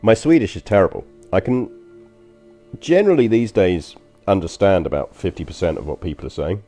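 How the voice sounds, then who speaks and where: A man with a London accent, deep-voiced, muses aloud to his stream chat while playing a strategy game. His tone is mellow and unhurried, and his sentences wander with ums and uhs. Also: expressive, soft background music. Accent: London English. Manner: rambling and chatty, frequent ums and uhs. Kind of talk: casual gameplay commentary to stream chat.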